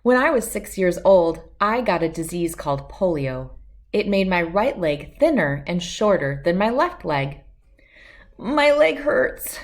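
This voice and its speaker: Lower-voiced woman reading a picture book. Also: unhurried. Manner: expressive storytelling in first person. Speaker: storybook read-aloud host.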